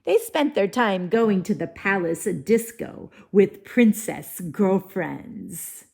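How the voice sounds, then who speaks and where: A woman teacher reading a storybook aloud to young children in an expressive, deliberate way, with simple asides. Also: medium pitch, gravelly. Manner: deliberate, animated, simple child-directed phrasing.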